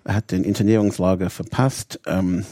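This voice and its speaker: A man's voice: sits low, fairly even intonation, moderate pace, mellow, no room sound, studio quality. A man of 59 from England with an English accent, telling his story conversationally in detail, sometimes searching for words. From Bradford, Yorkshire, England. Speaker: interview guest, rabbi.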